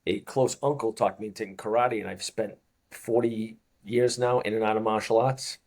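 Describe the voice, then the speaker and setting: A man's voice: fairly low pitch, fairly even intonation, moderate pace, a little mellow. A man in his fifties with a Boston accent, a fitness coach chatting as an interview guest and rambling through anecdotes. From Boston, USA. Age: 50s. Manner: rambling anecdotal storyteller, chuckles often. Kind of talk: casual interview chat.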